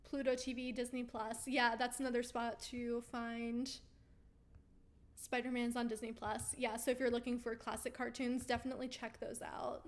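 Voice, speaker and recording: A woman's voice: on the high side, level tone, moderate pace. A woman hosting a weekly live question-and-answer stream, chatting casually and reading viewer comments aloud while she loses her voice. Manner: chatty and upbeat, with frequent ums and easy laughs. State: losing her voice.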